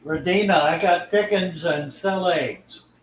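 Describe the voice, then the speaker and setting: A man's voice: high voice, a little slow, reverberant, low-quality recording. An older man hosting a livestream about fish keeping, talking in a folksy, easygoing way and reading viewer comments aloud. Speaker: livestream host, fish keeper. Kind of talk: casual livestream chat.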